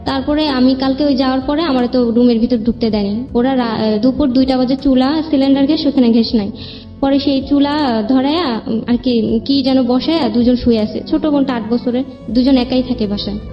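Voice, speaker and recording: Higher-voiced young Bangladeshi woman, very reverberant. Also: fairly quick. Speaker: guest telling own life story. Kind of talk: personal spoken account. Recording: long reverb, dull muffled sound, music underneath. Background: background music.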